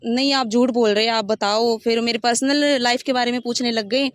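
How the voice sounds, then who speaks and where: A woman's voice: fairly high pitch, fairly even intonation, a little fast, dry recording, studio quality. A woman giving a detailed, step-by-step personal account in an interview. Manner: rambling, detailed step-by-step recounting. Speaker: interviewee recounting her complaint.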